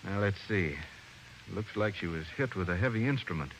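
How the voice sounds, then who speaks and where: A man's voice: lowish voice, expressive, moderate pace, very noisy, lightly acted. An actor playing a folksy, matter-of-fact male local sheriff in an old radio detective drama. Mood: brisk, businesslike.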